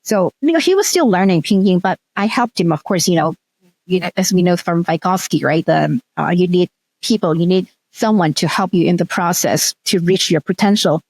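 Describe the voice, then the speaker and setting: A woman's voice: fairly low pitch, normal speed, darkish tone, slightly rough, no echo. A middle-aged woman from Hong Kong whose first language is Cantonese, a researcher telling stories as an interview guest, with frequent ums and restarts. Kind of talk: casual interview storytelling.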